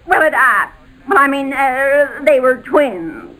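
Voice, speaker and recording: Bright, high, flustered coquette. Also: female voice, animated, slow, exaggerated voice, low-quality recording. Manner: stammering, flustered, gushing. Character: coquettish, flustered married woman fibbing to a suitor. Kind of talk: 1940s radio comedy acting.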